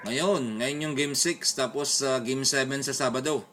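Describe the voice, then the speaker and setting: A man with a Filipino accent talking casually as an amateur radio operator, in a hesitant, halting way. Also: mid-range voice, steady tone, a bit bright, slight background noise. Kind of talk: casual two-way radio chat. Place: beside a radio set, recording it on a phone.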